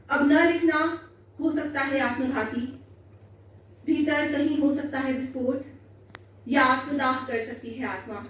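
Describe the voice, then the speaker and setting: A woman's voice: high voice, a bit bright. A woman reciting poems solemnly, repeating lines with emphasis. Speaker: poet reciting own poems. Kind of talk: Hindi poetry recitation before listeners. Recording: roomy echo, distant mic, faint noise.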